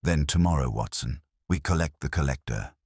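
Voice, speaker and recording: A shrewd, commanding detective read by a male narrator speaks in a very low, husky voice. His phrases are clipped and precise, with lively swings of pitch, as in a mystery audiobook. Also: moderate pace, dry recording, clean studio sound, full character voice. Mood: hushed, intent.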